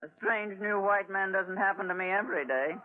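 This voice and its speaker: Woman acting flirtatious barroom dame, big booming voice, theatrical gushing, vintage radio drama, thin lo-fi recording. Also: a little fast, close to an everyday voice. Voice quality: big, voluminous voice.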